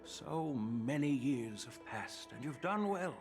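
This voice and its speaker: An actor playing an old grandfatherly general in a video game, speaking in a measured, formal and weighty way. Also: medium pitch, lively intonation. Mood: solemn. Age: older. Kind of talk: video game character dialogue. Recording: music behind the voice.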